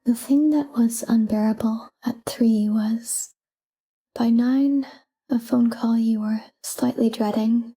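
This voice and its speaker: A woman guiding a sleep meditation in a calm, hushed, breathy whisper, soothing and soft. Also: fairly high pitch, takes it slowly, low-quality recording.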